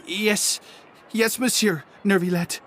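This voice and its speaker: A man's voice: high-pitched, steady tone, slow, a bit bright, a little hiss or hum. A man playing a nervous, cowed criminal henchman in a video game, stammering and submissive. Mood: anxious, guilty.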